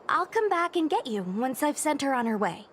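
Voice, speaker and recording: High-voiced, earnest young soldier girl. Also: sing-song, normal speed, slight background noise. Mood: earnest, protective. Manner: informal, earnest, slightly hesitant. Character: friendly, earnest young female soldier, eager and protective. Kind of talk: scripted video game dialogue.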